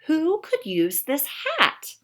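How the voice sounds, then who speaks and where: A woman's voice: high voice, wildly swooping pitch, takes it slowly, fairly bright tone, pitched above the performer's own voice, exaggerated voice. A woman voicing a friendly, curious little male squirrel in a storybook, musing and wondering to himself. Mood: pondering.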